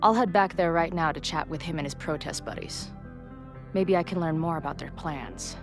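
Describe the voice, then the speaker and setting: A woman's voice: low voice, normal speed, over music. An actress playing a weary, wry young woman resigned to death, plain-spoken and sardonic, in naturalistic video game dialogue. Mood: wry, determined.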